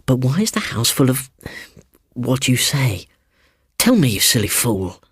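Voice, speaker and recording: Man as an indignant, husky British schoolboy. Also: medium pitch, sing-song, a little slow, no echo, studio quality, pitched above the performer's own voice. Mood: uneasy, indignant. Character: sceptical schoolboy visitor, indignant and uneasy.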